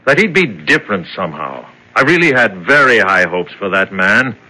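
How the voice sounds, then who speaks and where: An actor playing a cold, condescending prison chief in a 1970s radio drama. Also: male voice, fairly low pitch, sing-song, moderate pace, pushed and bright, full character voice, poor audio. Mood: brooding. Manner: condescending, clipped. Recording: old radio drama recording.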